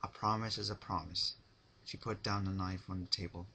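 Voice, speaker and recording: A man's voice: sits low, fairly even intonation, moderate pace, creaky, bright, forceful tone, breathy edge. A man narrating a dramatic reading of fan fiction, with some faint noise in the recording.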